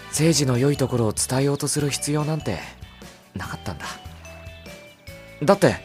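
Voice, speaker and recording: A woman's voice: rumbling low, lively intonation, moderate pace, darkish tone, faint music behind. A woman playing an earnest, caring young man with casual boyish speech in a Japanese audio drama. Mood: relieved. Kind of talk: Japanese visual novel audio drama acting.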